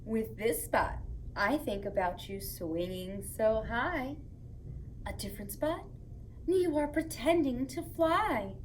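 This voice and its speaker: Woman, children's story-time host, high voice, unhurried delivery, playful and expressive, some background noise. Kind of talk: animated read-aloud for young children.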